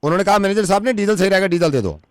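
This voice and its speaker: A man's voice: high-pitched, animated, rapid-fire, no room sound, studio quality, voice pushed up. A man playing a stubborn, defensive car dealership agent who argues and repeats himself on a prank call.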